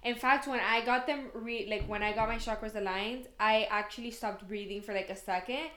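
A woman's voice: fairly high pitch, fairly even intonation, normal speed, a bit bright, echoey room. A woman in her twenties co-hosting a casual chat, rambling through stories with lots of "like". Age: 20s. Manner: rambling storyteller, fillers like "like". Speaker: podcast co-host.

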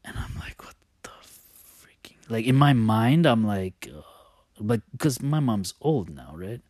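A man with a lowish voice talks casually and openly about his own past. He thinks aloud, halting and wandering before picking the story back up. The sound is very dry, with no room echo. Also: animated, a little mellow. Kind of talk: casual, confessional personal storytelling.

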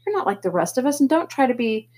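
Woman reader doing a teenage buddy, earnest and concerned, a bit higher and louder than her narration; husky storybook voice. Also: very animated, quick pace. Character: teenage boy, wisecracking friend turned earnest.

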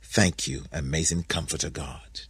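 A reverent, innocent first man in a storybook, voiced by a male narrator. He prays with grateful wonder in a deep, slightly rough voice, recorded dry. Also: fairly even intonation, moderate pace, fairly bright tone, no room sound, full character voice. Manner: prayerful, wondering. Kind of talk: dramatic storybook character voice.

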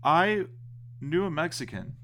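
A man's voice: a little high, sing-song, a little slow, pushed and bright. A man co-hosting a podcast in casual chat, joking and going along with his partner. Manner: joking, agreeable banter. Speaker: podcast co-host.

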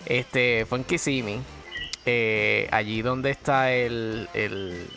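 Slow, hesitant man. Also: medium pitch, vocal fry, pushed and bright, faint music behind. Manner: hesitant, many fillers, slow. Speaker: photographer guest. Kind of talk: casual storytelling.

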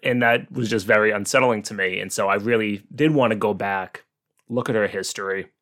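A man hosting a commentary series, explaining things in a measured, opinionated way with some asides. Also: fairly low pitch, a bit bright. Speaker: host of a local politics series.

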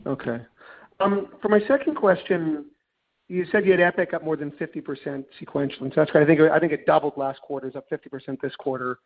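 A man's voice: on the high side, steady tone, fast, creaky. A man speaking as a financial analyst, putting informal questions on an earnings call over a telephone line. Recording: tinny phone line.

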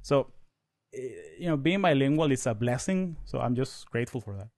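A man in his thirties whose first language is Spanish, talking fast with many restarts as a guest in a casual podcast interview. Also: mid-range voice, sing-song, a little mellow, no room sound, studio quality. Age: 30s.

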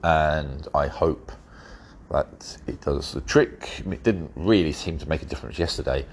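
Male podcast host, rumbling low and a little rough, blocked nose, unhurried and wry. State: congested, stuffy-nosed from hay fever. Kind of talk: solo podcast commentary.

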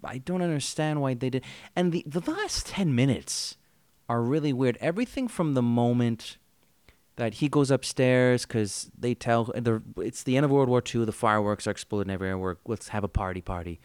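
A man co-hosting a podcast, chatting casually about a TV miniseries with quick asides and easy laughs. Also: medium pitch, a little mellow, smooth, dry recording. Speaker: podcast co-host. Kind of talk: casual review chat.

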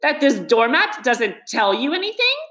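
Storybook rat, played by a woman: male, good-hearted, eager and easily worked up, with highly animated intonation. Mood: angry, urgent. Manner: brisk, eager, exasperated when thwarted.

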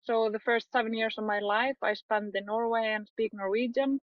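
A young woman photographer chatting in an interview, talking quickly with many fillers and frequent laughs. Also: fairly high pitch, fairly even intonation, dry recording, dull audio. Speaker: photographer guest. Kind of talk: casual interview chat.

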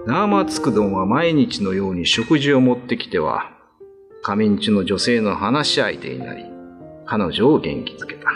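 A man's voice: on the low side, big pitch swings, moderate pace, music in the background. A man narrating a scripted classic ghost story as a radio drama narrator.

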